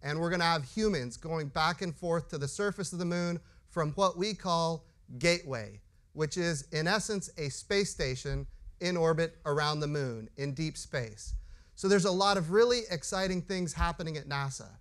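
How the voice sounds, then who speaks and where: A middle-aged man hosting a live panel as an agency administrator, warm and prone to restarts and repeated words. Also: fairly high pitch, normal speed.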